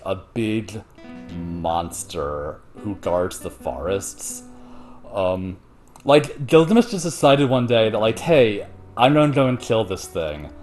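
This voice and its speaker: A man's voice: fairly low pitch, darkish tone. A man hosting a show, retelling a story in casual, slangy, profane and jokey chat. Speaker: host retelling an ancient myth.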